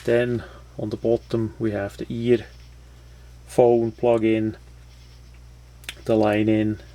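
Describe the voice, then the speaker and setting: A man giving a casual, unscripted product walkthrough in halting, phrasing. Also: fairly low pitch, level tone, warm tone. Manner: halting, non-native English phrasing. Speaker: product reviewer.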